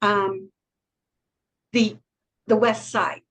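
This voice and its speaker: A woman's voice: mid-range voice, steady tone, drawn-out words, fairly bright tone, breathy edge. A woman co-hosting a podcast, talking frankly and earnestly in casual chat and laughing easily. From United States. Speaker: podcast co-host.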